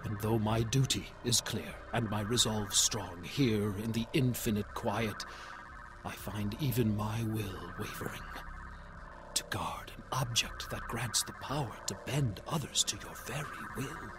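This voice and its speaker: An actor playing a solemn robot guardian in a video game, narrating a log in a grave, measured, dutiful manner. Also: male voice, on the low side, very noisy, lightly acted. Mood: troubled dread.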